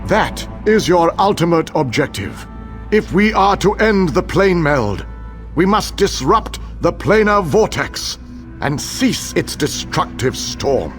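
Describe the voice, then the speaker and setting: A grave fantasy war leader in a video game. His voice sits fairly high for a man and swings widely as he gives solemn, measured orders, with music faintly behind him. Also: very animated. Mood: grave, rousing. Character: grave commanding male leader rallying troops before battle. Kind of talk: scripted fantasy game dialogue.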